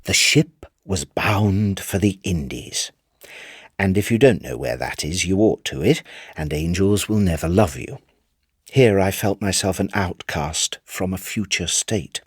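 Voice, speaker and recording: A man narrating a literary tale in an expressive, theatrical storytelling manner. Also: sits low, a little slow, husky, no echo, studio quality. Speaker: narrator, audiobook reader. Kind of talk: dramatic scripted literary narration.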